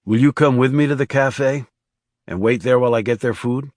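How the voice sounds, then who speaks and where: A man voicing a steady, reassuring male government agent in a thriller audiobook. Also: mid-range voice, normal speed, dry recording, studio quality, lightly acted. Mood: calm, probing.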